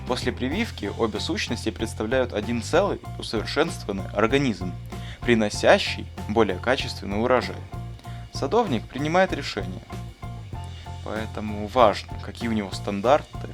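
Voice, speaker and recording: A man plays a thoughtful, kindly schoolteacher for an animated film. He explains in an earnest, didactic manner with bookish phrasing. Music plays clearly behind the voice. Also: fairly low pitch, normal speed. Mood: earnest, instructive. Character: thoughtful, kindly male schoolteacher who talks like a gardener. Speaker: teacher answering interview questions. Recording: voice over clear background music.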